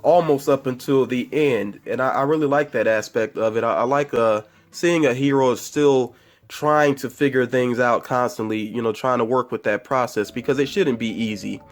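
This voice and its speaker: A man's voice: mid-range voice, moderate pace. Congested man chatting through a movie review. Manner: rambling and chatty, with frequent fillers and self-corrections. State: congested from a cold. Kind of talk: casual spoiler movie review.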